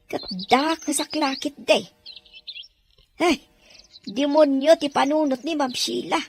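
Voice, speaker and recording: A woman's voice: sits high, fairly even intonation, takes it slowly. A woman acting in an Ilocano radio drama as an anxious, kindly woman minding a baby, sighing and lamenting to herself. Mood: worried, lamenting. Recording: radio drama with music bed.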